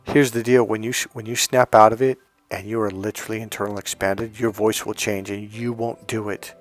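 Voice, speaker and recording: A man's voice: fairly low pitch, level tone, brisk, soft background music. A man coaching other men on dating in a blunt, emphatic rant, swearing often. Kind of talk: casual profane rant to camera.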